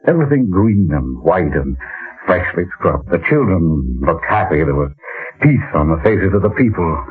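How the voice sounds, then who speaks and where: An actor playing an earnest, bewildered small-town mayor in an old-time radio drama, speaking haltingly and theatrically. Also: male voice, sits low, big pitch swings, slightly rough, full character voice. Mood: wistful. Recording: muffled vintage radio recording, faint music.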